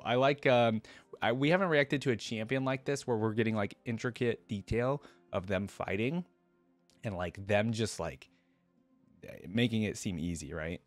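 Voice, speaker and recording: A man's voice: fairly low pitch, no echo. A man reading a fantasy story aloud and adding enthusiastic, informal fan commentary as a reaction video host.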